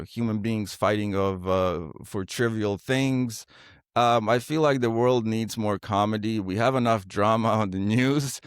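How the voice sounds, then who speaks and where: A man from Bosnia, a theater director and actor, talking in a casual interview, halting with many fillers and restarts. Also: on the low side, clean tone, dry recording, clean studio sound. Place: podcast studio.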